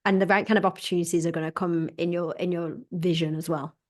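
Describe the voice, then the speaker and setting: A woman's voice: on the low side, little pitch movement, fast, a little mellow. A woman career coach and former recruiter answering questions as a webinar guest, earnest and often repeating words as she restarts, heard over a video call.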